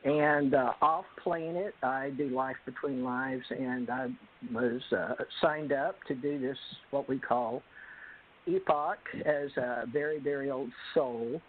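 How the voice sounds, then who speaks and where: Woman, low voice, hesitant with many pauses, guest introduction, tinny video-call audio. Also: steady tone, slight background noise. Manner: hesitant, pausing often with fillers. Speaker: panel guest. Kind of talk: self-introduction on an online panel.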